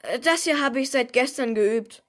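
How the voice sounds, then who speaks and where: Bright kid, a modest and sensible boy with a high voice, for children's radio drama. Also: moderate pace. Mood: modest, persuasive. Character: modest, practical young boy, clarinet player. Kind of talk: children's radio play acting.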